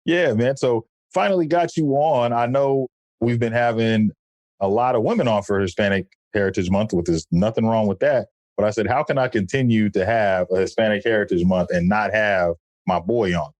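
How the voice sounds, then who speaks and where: A man's voice: lowish voice, normal speed, darkish tone, no room sound. A man hosting an interview podcast, chatting in an upbeat, friendly and loose way. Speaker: podcast host. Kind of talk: casual interview chat.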